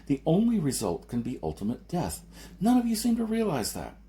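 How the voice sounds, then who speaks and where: Man voicing an insistent adventurer, pitched up, emphatic. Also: wildly swooping pitch, a little fast, mellow, slight background noise. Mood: insistent, persuasive. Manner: emphatic, argumentative, lecturing. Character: persuasive, insistent adult male off-world adventurer.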